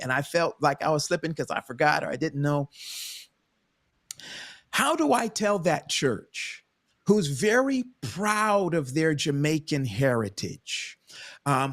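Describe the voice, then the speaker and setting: A man who pastors a mostly Jamaican church, asking an animated, rambling question on a panel and often restarting to search for words. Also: on the high side. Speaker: pastor and co-host. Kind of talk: casual panel talk.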